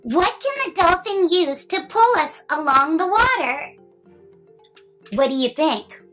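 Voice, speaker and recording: A woman's voice: very high-pitched, lively intonation, a little slow, fairly bright tone, soft background music. A woman in her thirties reading a picture book aloud to young children, playful and exaggerated, asking the listeners questions.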